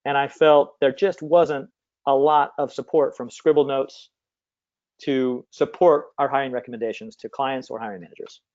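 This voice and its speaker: A man's voice: mid-range voice, fairly even intonation, moderate pace, a little mellow. A man speaking conversationally as an interview guest, explaining things over slightly muffled call audio. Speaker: guest, company CEO and former recruiter.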